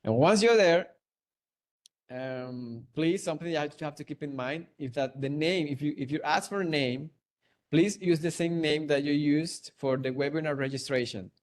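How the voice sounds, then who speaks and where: Man, venezuelan spanish accent, fairly high voice, playful webinar host, laughs easily, video call mic. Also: moderate pace. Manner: playful, laughs often, hums and sings along. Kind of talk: hosting a live trivia webinar.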